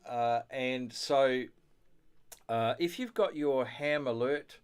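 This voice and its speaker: A man's voice: medium pitch, slow pace, clear, resonant tone. A man hosting a casual studio chat, affirming and given to chuckling as he talks. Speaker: host of an amateur radio show. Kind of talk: casual chat about ham radio.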